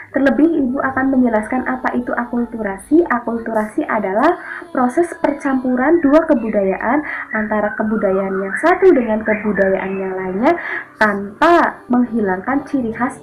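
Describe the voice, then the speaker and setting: A woman teaching a lesson, explaining step by step in an orderly, didactic way. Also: on the high side. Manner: didactic, signposts each point in order. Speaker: history teacher. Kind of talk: explanatory history lesson for students. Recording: thin phone-like audio with music behind. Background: background music.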